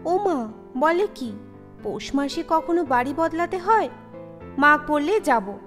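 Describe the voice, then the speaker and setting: A woman's voice: high voice, lively intonation, unhurried, a bit bright, soft background music, voice pushed up. A woman voicing a kind-hearted, motherly Bengali housewife who chides with affection in an audio story. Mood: protesting. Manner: colloquial, affectionate scolding.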